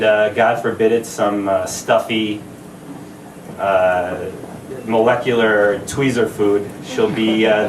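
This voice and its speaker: Groom, thirties, low voice, halting and laughing toast, echoey party room, mic at a distance. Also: male voice, a little hiss or hum. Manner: halting, many fillers, laughing asides. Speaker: groom giving a speech. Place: party venue with crowd.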